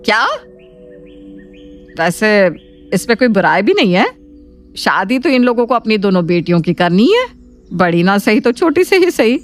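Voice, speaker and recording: A woman's voice: mid-range voice, big pitch swings, moderate pace, pauses often, lightly acted. A woman playing an assertive middle-aged mother in a cartoon family drama, firm and persuasive. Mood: persuasive, brazen.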